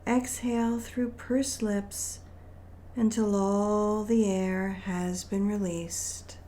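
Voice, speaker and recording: Woman, meditation guide, very unhurried, soft dark timbre, gentle and soothing, noticeable background noise. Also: medium pitch, fairly even intonation. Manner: gentle, soothing, deliberate phrasing with long pauses.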